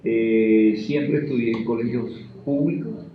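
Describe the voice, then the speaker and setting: A man's voice: medium pitch, measured pace. A man in his sixties with a Colombian Caribbean accent, answering interview questions in an anecdotal, conversational way. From Caribbean river region of Colombia. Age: 60s. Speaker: interviewee, sociologist and teacher. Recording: muffled, echoey, noisy room recording.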